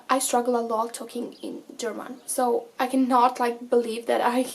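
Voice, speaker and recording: Teen girl vlogger from Italy, Italian accent, higher voice, chatty with lots of fillers, faint hiss behind. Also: vocal fry. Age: teens. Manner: chatty, many fillers and self-corrections. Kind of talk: casual vlog talking to camera.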